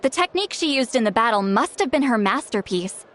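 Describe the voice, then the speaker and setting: Haughty game shaman, grumpy elder attitude, high lively voice. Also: fairly quick, slight background noise. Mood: scheming. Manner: haughty, teasing, self-assured. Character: proud grumpy female shaman, sharp-tongued elder. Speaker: game character. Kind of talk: video game voice acting.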